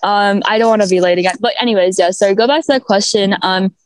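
A woman's voice: mid-range voice, dry recording. Chatty upbeat young woman. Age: young adult. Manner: chatty and upbeat, quick laughs, many fillers. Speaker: mental health activist, guest. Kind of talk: casual interview over video call. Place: outdoors at a school.

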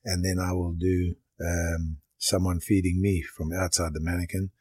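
Low husky older man, a goalkeeper coach. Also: level tone, moderate pace, a little mellow, no room sound, clean studio sound. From Zimbabwe. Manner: explains step by step, plain and practical. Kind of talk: interview answers.

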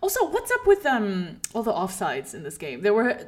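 A woman's voice: medium pitch, wildly swooping pitch, moderate pace. A woman with a mid-range voice co-hosts a casual football podcast. She argues her opinions emphatically, circling back to repeat her points in conversational phrasing. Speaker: podcast co-host.

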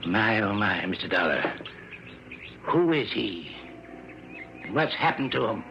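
An actor playing a folksy, humble old American fishing guide captain in a 1960s radio drama. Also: male voice, on the low side, big pitch swings, slow, creaky, exaggerated voice. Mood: shaken, subdued. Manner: folksy, rustic turns of phrase. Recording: muffled, noisy vintage radio recording.

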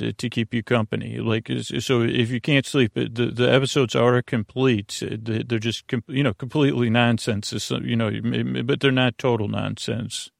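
A man with a creaky voice hosting a sleep podcast in a meandering, halting monologue that often interrupts itself. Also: mid-range voice, no echo, clean studio sound. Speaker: podcast host.

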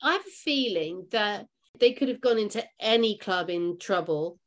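A woman's voice: mid-range voice, expressive, normal speed. A woman co-hosting a podcast in casual conversation, speaking thoughtfully with some hesitation. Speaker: podcast co-host.

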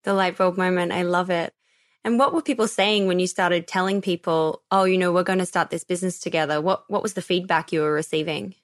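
Woman, podcast host, enthusiastic, medium pitch, dry sound. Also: steady tone, dry recording. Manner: enthusiastic, quick reactions. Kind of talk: upbeat interview hosting.

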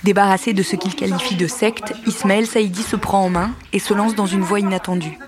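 A woman reading scripted documentary narration in a measured, neutral way. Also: mid-range voice, steady tone, a crowd in the background.

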